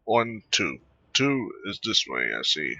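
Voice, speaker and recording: Halting man, dull dry gaming mic. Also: sits low, sing-song, creaky, sharp tone, no room sound, soft background music. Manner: halting, rambling, thinking aloud. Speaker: gaming video host. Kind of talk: casual let's play commentary.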